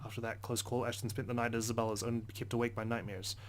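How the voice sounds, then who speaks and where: A man's voice: medium pitch, little pitch movement, very fast, a little hiss or hum. A man reading a visual novel's first-person narration aloud as a let's play host, earnest, with occasional stumbles.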